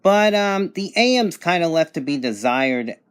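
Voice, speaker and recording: A man's voice: on the high side, expressive, normal speed, clear, resonant tone. A man giving a casual, unscripted product review in a plain-spoken, matter-of-fact way. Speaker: radio reviewer.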